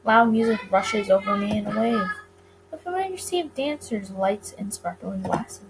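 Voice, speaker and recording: A woman reading a visual novel aloud as dramatic narration for a gaming video, with expressive delivery. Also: mid-range voice, moderate pace, gravelly, background noise.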